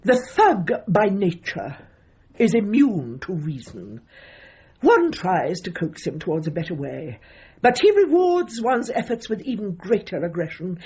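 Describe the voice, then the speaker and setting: Pompous older female dog trainer, stern and implacable, formal phrasing, very lively pitch, radio comedy, muffled recording. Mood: grave, pompous. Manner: pompous, formal, relentlessly insistent. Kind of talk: radio comedy drama acting.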